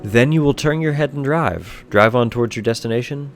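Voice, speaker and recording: A man reading a scripted second-person bedtime story in a measured, solemn storytelling manner. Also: mid-range voice, over music.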